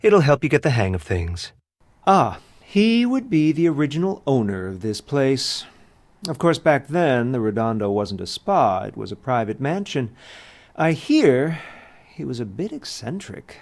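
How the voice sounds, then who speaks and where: Wry spa receptionist, lively delivery. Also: male voice, mid-range voice, unhurried, creaky, dry recording. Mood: guarded, dry. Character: smooth, sardonic male spa receptionist. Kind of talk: video game character dialogue.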